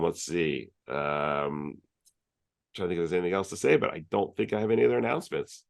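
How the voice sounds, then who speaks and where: A man's voice: sits low, creaky, a bit bright. A man hosting an online user group meeting, chatting casually through announcements with many fillers and restarts over a video call.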